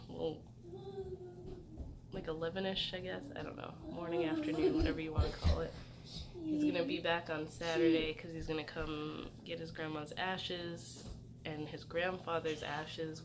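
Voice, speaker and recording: A young woman chatting casually in a vlog, hoarse from a cold and rambling with many fillers. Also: sits low. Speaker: vlogger. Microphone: phone camera. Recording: distant mic with loud noise.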